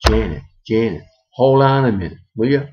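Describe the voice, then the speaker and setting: Bossy, foul-mouthed neighbor woman, as a male storyteller puts her on; slightly raised pitch, dull recording. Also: animated, normal speed, slight background noise, lightly acted. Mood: exasperated. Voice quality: profane, scolding. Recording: muffled lo-fi recording.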